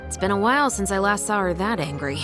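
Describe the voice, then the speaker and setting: A woman voicing a composed, dutiful female officer in a video game, speaking in a dry, formal, measured way. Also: medium pitch, animated, close to an everyday voice. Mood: wry. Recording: music behind the voice.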